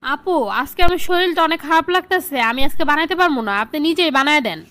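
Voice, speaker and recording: A woman's voice: high-pitched, fast talker, pushed and bright, no room sound. A woman voicing a meek, obliging young wife in a cartoon, compliant and self-effacing, with a colloquial Bangladeshi accent. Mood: meek, reassuring. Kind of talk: cartoon drama dialogue.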